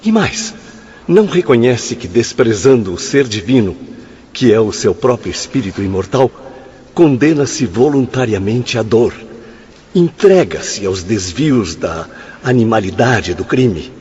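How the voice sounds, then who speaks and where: An actor with a Brazilian accent playing an earnest spirit instructor in a radio drama, emphatic and declamatory. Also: male voice, medium pitch, sing-song, a little slow, darkish tone, highs cut off, slight background noise. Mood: earnest, grave. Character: earnest male spirit instructor lecturing his friends gravely.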